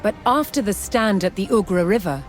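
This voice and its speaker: A woman giving scripted, documentary-style narration for a historical strategy game, measured and formal in her storytelling. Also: mid-range voice. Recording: music behind the voice. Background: music.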